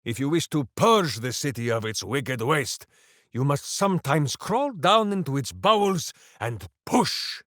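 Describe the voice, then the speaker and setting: A hearty berserker hero for a video game, simple-minded and boastful. He declaims slowly and theatrically, swinging widely in pitch. The sound is close and dry. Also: lively intonation, a bit bright, dry recording. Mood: hearty, earnest. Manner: bombastic, theatrical, speaks of himself in third person. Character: hearty, simple-minded, boastful heroic berserker warrior devoted to his hamster. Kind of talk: video game character voice lines.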